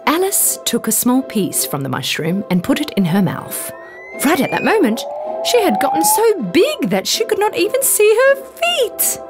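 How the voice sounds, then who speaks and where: A woman reading a scripted bedtime story for children in an expressive, deliberate storytelling manner. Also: sits high. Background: background music.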